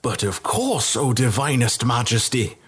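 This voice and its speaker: A man voicing a fawning, sly male innkeeper who talks with exaggerated flattery. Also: mid-range voice, unhurried, slightly rough. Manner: obsequious, conspiratorial.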